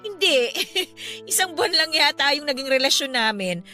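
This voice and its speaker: A woman's voice: high-pitched, lively intonation, normal speed, bright, forceful tone. An actress playing a breezy, candid young woman opening up about an old romance in a Filipino radio drama. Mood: light, candid. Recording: music bed behind the voice.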